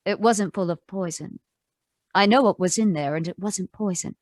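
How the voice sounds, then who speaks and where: A sardonic femme fatale from an old radio mystery, acted by a woman, mid-pitched and unruffled. She tosses out taunting wisecracks without hurry, heard close and dry with no room around her. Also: normal speed, a little mellow, no room sound, natural voice. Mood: cool, taunting. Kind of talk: 1940s radio noir acting.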